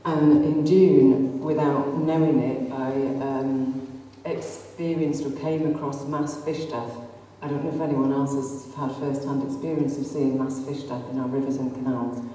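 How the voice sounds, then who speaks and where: A woman's voice: extremely low pitch, level tone, unhurried, hardly any pauses, dark tone, slight background noise. A middle-aged woman with a Greater Manchester accent gives an impassioned public talk to an audience, full of ums and rhetorical questions. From North West England. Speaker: activist speaker. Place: arts centre hall. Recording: distant echoey hall recording.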